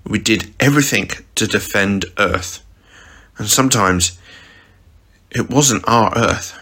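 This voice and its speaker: A man voicing a young male hero who once fought on a team, speaking in an earnest, measured way. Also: fairly low pitch, bright, forceful tone, slightly rough, heavily acted. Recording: noisy home recording.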